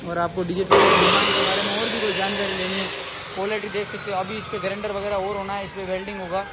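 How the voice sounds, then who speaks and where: A man rattles through a sales pitch at a rapid clip. His delivery stays on one level, circling back over the same selling points. He is recorded in a noisy workshop. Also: level tone. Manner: repetitive, running sales patter. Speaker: factory owner promoting his workshop's products. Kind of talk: improvised promotional video pitch to camera. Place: welding workshop at a factory. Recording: noisy workshop with hiss and machinery.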